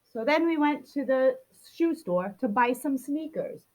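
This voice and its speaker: A woman's voice: high-pitched, expressive, a little slow, low-quality recording. A woman reading a children's picture book aloud, expressively acting out a grumpy child's complaints. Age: adult. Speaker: storybook reader.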